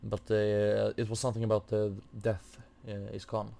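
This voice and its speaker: A man's voice: lowish voice, completely flat delivery, moderate pace, vocal fry, background noise. A man giving casual commentary as he plays through a game, hesitantly thinking aloud. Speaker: gamer narrating a quest playthrough.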